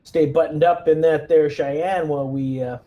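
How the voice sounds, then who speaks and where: A man chatting casually as a tabletop game player, relaxed and a little halting as he plans his moves. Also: a little high, highs cut off.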